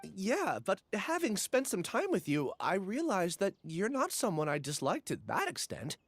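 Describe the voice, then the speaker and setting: An actor playing a gentle, troubled young scholar in a video game, hesitant and apologetic. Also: male voice, sits high, huge pitch swings, normal speed, heavily acted. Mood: earnest, reflective. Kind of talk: video game character acting.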